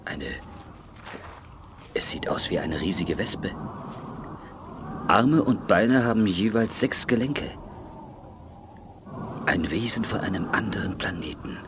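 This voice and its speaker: Cautious doctor, deep voice, hushed and measured, radio drama, noisy background. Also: male voice, animated. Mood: cautious, awed. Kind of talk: 1980s German radio play acting.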